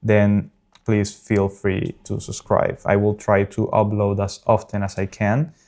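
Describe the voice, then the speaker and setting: Gaming host, man in his thirties, South American Spanish accent, lowish flat voice, earnest and unpolished. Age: early 30s. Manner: earnest, unpolished, frequent pauses. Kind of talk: casual talk over gameplay.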